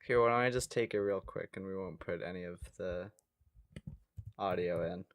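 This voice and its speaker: A man's voice: low-pitched, normal speed, no room sound, clean studio sound. A young man co-hosting a podcast in casual comedic chat, jokey and self-deprecating, sometimes reading questions aloud. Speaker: podcast co-host.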